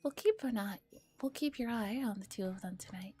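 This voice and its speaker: A woman streamer softly reading a visual novel aloud in a relaxed ASMR style and chatting casually with her viewers. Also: medium pitch, fairly quick. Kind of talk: soft ASMR reading with casual chat and commentary. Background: music.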